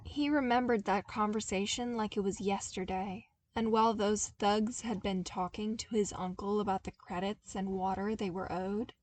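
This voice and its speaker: A woman reading a story aloud as an audiobook narrator, in an expressive scripted style. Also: medium pitch.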